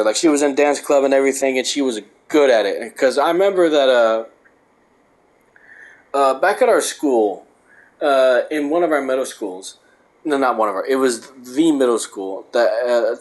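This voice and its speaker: A man's voice: mid-range voice, darkish tone, rough recording. A young man reading a book aloud and breaking off into rambling, profane rants. He says he is losing his voice. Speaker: host.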